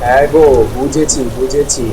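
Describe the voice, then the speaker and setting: A man voicing a weary, gentle poor farmer and father in a cartoon, resigned and plaintive. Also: medium pitch, little pitch movement, moderate pace, far from the mic, natural voice. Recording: storm and rain noise behind voice.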